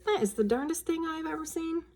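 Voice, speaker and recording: A woman's voice: very high voice, a little fast, a little mellow, pitched above the performer's own voice. Woman as storybook dad, a baffled and exasperated man of the house. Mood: astonished. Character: astonished, exasperated father of the family. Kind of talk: children's storybook read-aloud.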